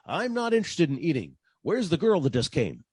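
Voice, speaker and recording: A man acts out a wry radio private eye, unflappable and quick with a crack. His husky, slightly high voice moves with lively intonation as he questions and narrates. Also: no echo, clean studio sound, exaggerated voice. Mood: insistent. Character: wisecracking, unflappable male private detective. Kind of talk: old-time radio detective drama acting and narration.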